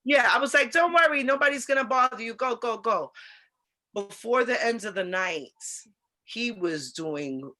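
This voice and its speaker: An American woman chatting and telling stories casually as a co-host, in an animated, slangy, expressive way. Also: on the high side, normal speed. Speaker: co-host of an online talk show. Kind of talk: casual chat and storytelling.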